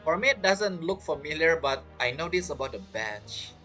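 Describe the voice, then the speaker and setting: A man's voice: a little high, animated, moderate pace, music in the background. A man giving chatty, casual playthrough commentary, reacting to the game and reading its text aloud. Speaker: game streamer.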